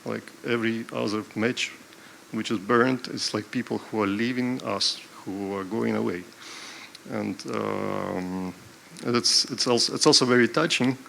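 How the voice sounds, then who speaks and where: Male panelist, low gravelly voice, hesitant delivery with lots of ums and restarts. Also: steady tone, fairly bright tone, slight background noise. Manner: halting, full of fillers and restarts. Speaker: panel speaker presenting an art program. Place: panel discussion at an art event venue.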